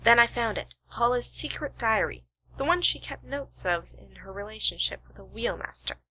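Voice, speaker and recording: A woman's voice: a little high, expressive, moderate pace, pushed and bright, rough recording. A woman playing a shrewd, self-assured detective in an amateur radio play, explaining with dramatic deliberation. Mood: assured, revealing.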